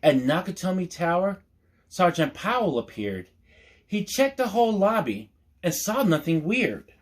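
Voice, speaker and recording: A man hosting storytime, reading a picture book aloud with mock-earnest asides in a measured storyteller's manner. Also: high-pitched, sing-song.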